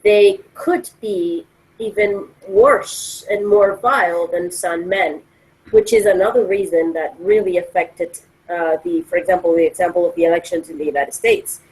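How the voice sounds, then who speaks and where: A woman's voice: lowish voice, normal speed, darkish tone, low-quality recording. A woman speaking emphatically and argumentatively as she gives her views in a casual discussion. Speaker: discussion participant.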